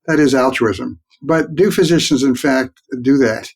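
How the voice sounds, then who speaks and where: An older man, a physician and researcher, explaining his work as an interview guest in a measured way. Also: mid-range voice, vocal fry, husky.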